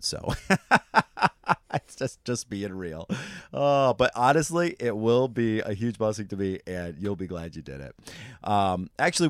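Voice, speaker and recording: Male podcast host, lowish voice, scratchy and worn, relaxed chatty delivery, dry close sound. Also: no echo. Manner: relaxed, laughs easily, many fillers. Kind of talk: casual chat. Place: camper trailer.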